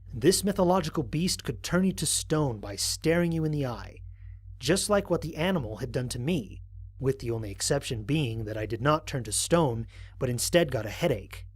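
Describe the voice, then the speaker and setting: Man as a measured, thoughtful space explorer. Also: on the high side. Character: thoughtful, wary male space explorer recording mission logs. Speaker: narrator of a fan audio drama. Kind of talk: scripted first-person mission log narration.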